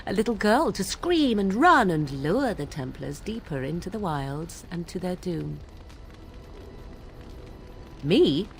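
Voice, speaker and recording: An actress playing a sly young witch who teases in a wry, archly formal way, with game music behind her. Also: lowish voice. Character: sly young female witch, mocking and aloof.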